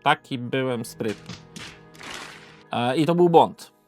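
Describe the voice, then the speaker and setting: A man's voice: medium pitch, expressive, slow pace, a little mellow, soft background music. A Polish man presenting an informal, unscripted board game video review, hesitating and often correcting himself as he goes.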